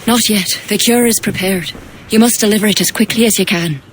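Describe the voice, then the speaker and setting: A woman's voice: medium pitch, a little fast, a little hiss or hum, lightly acted. A woman playing a grave, mystical druid healer in a video game, speaking in a commanding, incantatory way. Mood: grave, instructing. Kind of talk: dramatic video game cutscene acting.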